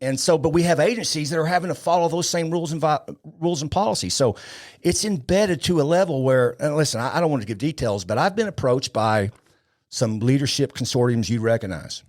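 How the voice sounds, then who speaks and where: A man's voice: on the high side, lively intonation, fairly quick, a little mellow. A man speaking as an opinionated interview guest, emphatic and rhetorical, repeating his points. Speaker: podcast guest, police leadership speaker.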